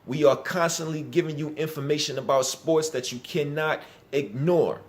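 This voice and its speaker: A young man hosts a sports channel, his voice a little higher than most. He addresses the audience in a promotional, announcer-like tone and pitches the channel to viewers. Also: moderate pace. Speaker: channel and podcast host. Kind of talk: upbeat show introduction.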